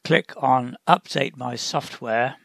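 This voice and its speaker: A man presents a software tutorial at a very unhurried pace. His voice is creaky and gravelly, and he pauses mid-sentence as he gives deliberate, step-by-step instructions. The sound is dry, with little room in it. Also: medium pitch, dry recording.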